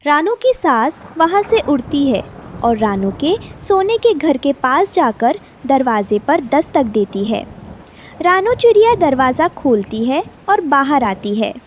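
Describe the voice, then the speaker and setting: Female cartoon narrator, high voice, lively intonation, scripted storytelling, noisy background. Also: measured pace.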